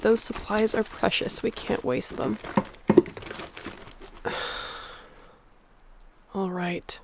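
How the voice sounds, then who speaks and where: A woman's voice: medium pitch, steady tone, very slow, very noisy. A woman playing a sick, stubborn survivor of a zombie apocalypse in an audio roleplay, speaking in a weary, conversational way. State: feverish, under the weather.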